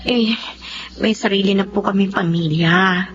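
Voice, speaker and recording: A woman's voice: medium pitch, a little slow, natural voice. A woman playing a respectful, weary young mother and daughter-in-law in a radio drama, earnest and polite. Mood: earnest, pleading. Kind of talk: Filipino radio drama acting. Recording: dull audio, faint noise.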